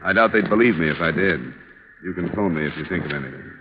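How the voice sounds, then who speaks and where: Brief: male actor as a deep-voiced, fast, dry old-time radio gumshoe; muffled broadcast, music bed. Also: lightly acted. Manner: dry, laconic, probing questions. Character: wry hard-boiled male insurance investigator, middle-aged. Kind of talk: 1950s radio drama acting.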